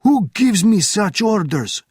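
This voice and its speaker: A man's voice: big pitch swings, slow pace, breathy edge, no echo, clean studio sound, heavily acted. A man playing a desperate army captain. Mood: desperate, shouting. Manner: loud, high and desperate. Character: overwhelmed male army captain.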